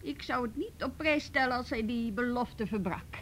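A woman's voice: a little high, moderate pace, fairly bright tone, slight background noise, natural voice. An actress playing a frank, firm woman mediating in a radio drama, reasoning directly. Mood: firm, negotiating. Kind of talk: Dutch radio drama acting.

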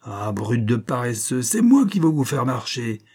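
A man's voice: medium pitch, sing-song, a little slow, dark tone, breathy edge, heavily acted. A man voicing a scornful, hot-tempered young male sled driver in an adventure audiobook. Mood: furious. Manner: curt, harsh, ironic.

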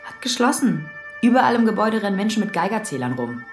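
A woman's voice: medium pitch, sing-song, fast talker, close to an everyday voice. An actress playing a dry, sardonic female police officer in a German radio play, recorded in a roomy space. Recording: roomy echo, music behind.

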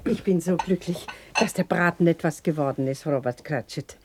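An actress playing an anxious, loving mother of a poor family, bustling and expressive in a radio play. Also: low voice, moderate pace, noisy background, close to an everyday voice. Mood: happy, relieved. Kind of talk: 1960s German radio play acting.

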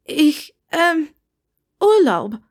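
A woman's voice: sits high, very animated, drawn-out words, pitched above the performer's own voice, exaggerated voice. A woman voicing a polite, awkward young witch in a storybook reading, hesitant and evasive. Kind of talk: audiobook character dialogue.

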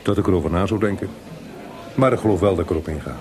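A man playing Haydn, a calm and kindly senior composer with a measured, reassuring manner, in a Dutch radio play. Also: low voice, lightly acted. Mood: matter-of-fact.

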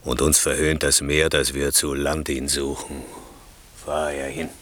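Very deep, weary king. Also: level tone, a bit bright, no room sound. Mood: weary, resigned. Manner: solemn, measured. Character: weary, resigned, grieving nobleman king. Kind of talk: classical German radio play acting.

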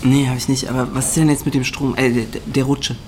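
A man's voice: mid-range voice, level tone, a little fast, lightly acted. Earnest young student played by a man, noisy surroundings. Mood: puzzled. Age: young adult. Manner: questioning, colloquial. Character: earnest, puzzled young male student, persistently questioning. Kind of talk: naturalistic radio drama acting. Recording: noisy location ambience.

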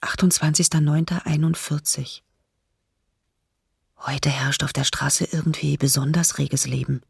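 An actress playing an anxious diarist, reading entries in a hushed, matter-of-fact way for a radio play. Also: female voice, deep voice, steady tone, unhurried, creaky, breathy edge, dry recording, clean studio sound, heavily acted. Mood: subdued, uneasy. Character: anxious diarist observing an occupied city.

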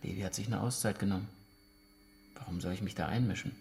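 An actor playing a defiant, evasive uncle under interrogation in a naturalistic radio drama, answering curtly. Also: male voice, sits low, steady tone, fast talker, vocal fry, darkish tone, a little hiss or hum. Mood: guarded, curt.

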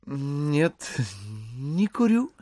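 A courteous, scheming gentleman caller in an audio drama. His voice is fairly high and lilting, and he picks his words slowly, with careful deference. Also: expressive, dry recording, studio quality. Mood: courteous, modest. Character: smooth, courteous, calculating gentleman visitor with a scheme.

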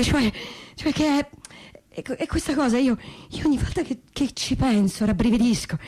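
A woman playing a sorrowful granddaughter in a stage testimony reading, speaking haltingly and repeating words as she searches for them. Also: a little high, dark tone, slightly rough. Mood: pained, sorrowful. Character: sorrowful granddaughter of a deported family, haltingly remembering. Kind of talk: theatrical reading of personal testimony, conversational.

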